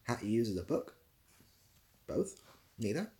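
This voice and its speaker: A man's voice: medium pitch, sing-song, unhurried, warm tone, slight background noise. A young man hosting a casual livestream, reading out comments and giving dry, self-deprecating, rambling answers. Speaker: livestream host.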